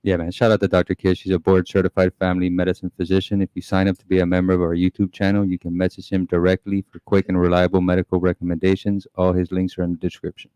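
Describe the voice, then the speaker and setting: A man co-hosting a podcast, reading a sponsor plug in a quick, matter-of-fact way. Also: low-pitched, completely flat delivery, warm tone, clear, resonant tone, no room sound. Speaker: podcast co-host.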